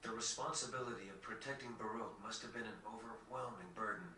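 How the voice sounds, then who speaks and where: A man playing a solemn young prince in a video game cutscene, speaking in a formal, measured, earnest way. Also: lowish voice, bright, forceful tone. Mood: solemn, reflective. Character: solemn, gentle young prince burdened by royal legacy. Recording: distant, echoey, faint background noise.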